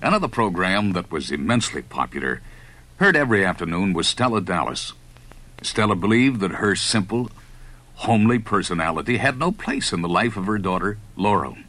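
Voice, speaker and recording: A man's voice: deep voice, bright, forceful tone. A man hosting a radio program with scripted narration. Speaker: radio show host.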